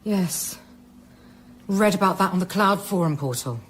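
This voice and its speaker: Resourceful woman scientist, fairly low voice, animated intonation, matter-of-fact, anxious undertone, radio drama. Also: unhurried, a little hiss or hum. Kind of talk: naturalistic radio drama acting.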